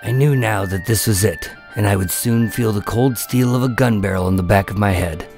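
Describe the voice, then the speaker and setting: A man playing an undercover agent in a radio drama, telling his story with deadpan, wry tension. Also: on the low side, brisk, gravelly, faint music behind. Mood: tense, wry. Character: wry, nervous undercover agent posing as mob enforcer. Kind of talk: first-person noir radio drama narration and dialogue.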